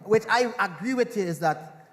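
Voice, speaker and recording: A man's voice: sits high, expressive, normal speed. A man acting in a stage play as a vexed, grumbling local man, with a Trinidadian accent and an exasperated, rambling delivery. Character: vexed, grumbling man from the block, opposing guns. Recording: echoey hall with background noise.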